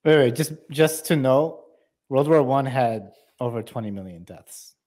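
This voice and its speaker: A man's voice: medium pitch, lively intonation, a little slow, a little mellow. A man co-hosting a casual political livestream, interjecting with conversational, analytical commentary. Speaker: show co-host. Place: indoor room, livestream video call.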